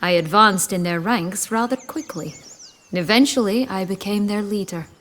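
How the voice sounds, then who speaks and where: An actress playing a proud, bitter banished noblewoman turned bandit leader, wry and measured, in a fantasy game. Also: medium pitch, fairly bright tone, natural voice. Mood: bitter, reflective. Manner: wry, measured, dramatic storytelling. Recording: faint ambient noise behind.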